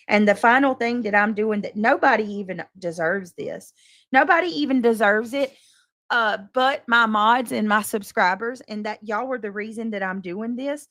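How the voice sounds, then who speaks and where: Exasperated woman with a creaky, dry-sounding voice. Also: a little high, fairly bright tone, dry recording. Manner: exasperated, defensive, emphatic; coarse, swearing. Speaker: livestream host addressing subscribers and moderators. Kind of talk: casual unscripted livestream monologue.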